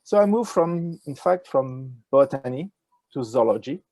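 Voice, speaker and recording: An older man with a French accent talks in a gravelly, mellow voice. He answers in a halting way, full of fillers and restarts. The sound is dry, from a wired earphone mic on a video call. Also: mid-range voice, lively intonation, no room sound. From France. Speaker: ecology professor, interview guest. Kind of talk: casual interview answers. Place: indoors with a virtual nature backdrop.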